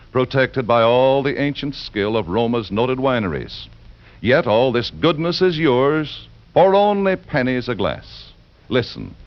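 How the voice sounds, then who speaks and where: A man announcing a commercial in 1940s radio style, polished and emphatic. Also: medium pitch. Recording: dull 1940s radio recording.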